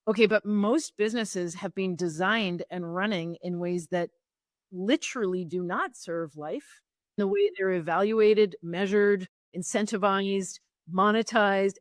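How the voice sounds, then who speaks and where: A woman's voice: mid-range voice, no echo, studio quality. A woman guest on an interview podcast, a sustainability consultant explaining her ideas step by step in a reflective, conversational way.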